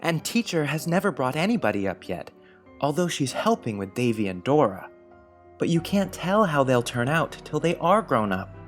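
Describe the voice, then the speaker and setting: An actor as an earnest, thoughtful young schoolboy, lively intonation. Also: mid-range voice, moderate pace, faint music behind, lightly acted. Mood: earnest, puzzled. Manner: earnest, deliberating. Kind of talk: dramatised audiobook acting.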